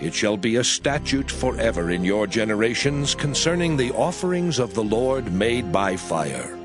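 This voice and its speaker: Expressive male scripture reader over music. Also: medium pitch. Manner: measured, declarative, archaic King James diction. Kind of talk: dramatized, solemn scripture reading. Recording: music playing behind the reading.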